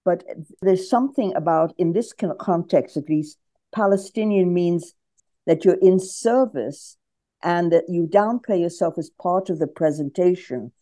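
A woman's voice: on the low side, measured pace, warm tone, no echo. A woman interviewing for radio, asking reflective questions and searching for her words.

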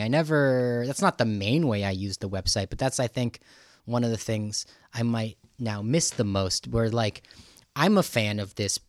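Guy hosting a podcast, kinda rambly and halting, medium pitch, really dry sound. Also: no echo. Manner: rambling, halting, thinks aloud. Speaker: podcast host interviewing a guest. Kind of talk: casual conversational podcast talk.

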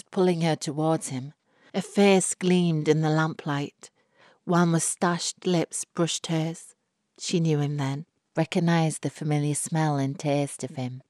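A woman narrates a short story in a low voice, recorded close and dry. Her delivery is scripted, measured and expressive, shaped for literary storytelling. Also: no room sound.

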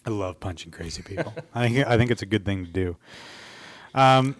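A man's voice: fairly low pitch, normal speed. A man co-hosting a podcast, talking casually and conversationally with his partner. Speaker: podcast co-host. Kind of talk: casual conversation about films.

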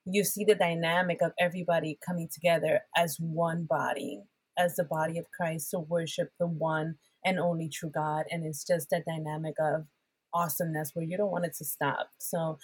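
Husky-voiced woman, lowish, laughing often. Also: normal speed. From Puerto Rico. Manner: warm, laughs often, animated. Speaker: podcast guest, worship pastor. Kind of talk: casual chat.

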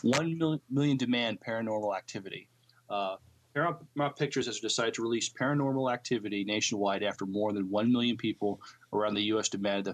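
A man co-hosting a podcast, reading out entertainment news and commenting in a somewhat halting, unpolished way. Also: on the low side, poor audio. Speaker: podcast co-host.

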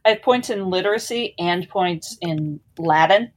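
A woman's voice: fairly low pitch, normal speed, a crowd in the background. A woman playing in a tabletop game, chatting casually with dry, wry remarks. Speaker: player.

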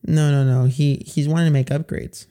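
A man co-hosting a casual podcast chat, joking and quick to agree, who is losing his voice. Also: fairly high pitch, steady tone, warm tone. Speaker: podcast co-host.

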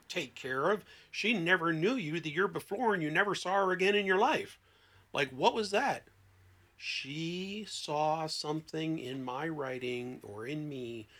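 A man who works as a therapist, talking conversationally as a podcast guest and telling anecdotes in an expressive, emphatic way. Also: fairly high pitch. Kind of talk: conversational explanation with anecdotes and acted-out quotes.

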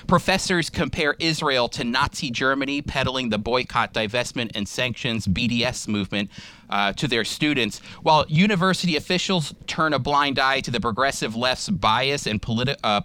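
Earnest, bright-voiced male radio host. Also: mid-range voice, clean tone. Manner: earnest, with frequent restarts and fillers. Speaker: radio show host and teacher. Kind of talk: radio interview and commentary.

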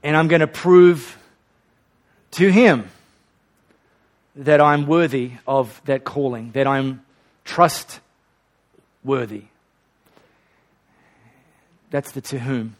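A man's voice: medium pitch, fairly even intonation, very slow, pauses often. A male pastor preaching a sermon and leading an altar call in a church. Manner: earnest, leads a repeat-after-me prayer. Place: hot church auditorium.

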